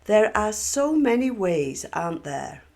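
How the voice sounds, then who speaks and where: A woman voicing a serene, insightful young girl in a children's storybook, with a gentle, questioning manner. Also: medium pitch, big pitch swings, measured pace, pitched above the performer's own voice. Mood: serene wonder. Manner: gentle, wondering, asks questions. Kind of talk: children's storybook read aloud.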